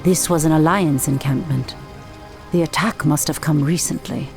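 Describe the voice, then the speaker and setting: A woman playing a devout, brave night elf priestess in a video game, calm, dignified and formal in delivery. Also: sits low, moderate pace, soft background music. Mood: calm, gracious. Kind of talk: dramatic video game voice acting.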